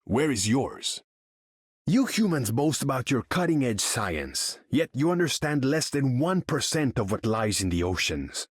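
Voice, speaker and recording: A man's voice: medium pitch, very animated, a little slow, breathy edge, dry recording, studio quality. An actor playing a proud, imperious sea king in a video game, speaking in a grand, formal way. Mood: proud, scornful. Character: proud, imperious undersea king, haughty and vengeful. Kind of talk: video game character voice lines.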